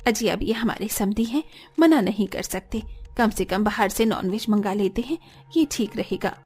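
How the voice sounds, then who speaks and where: A woman's voice: medium pitch, moderate pace, a little mellow, slightly rough, natural voice. A woman voicing female relatives and guests in a cartoon family drama. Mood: resigned.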